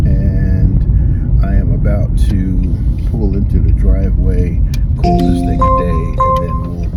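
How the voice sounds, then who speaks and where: A man in his fifties vlogs from behind the wheel, his somewhat low voice drifting along unhurried, full of ums and restarts. He sits a little back from the phone mic held at arm's length. Loud car and road noise runs under everything he says. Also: far from the mic. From New York. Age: early retirement age, 50s. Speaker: vlogger. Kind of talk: casual vlog talk while driving. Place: inside a car, driving.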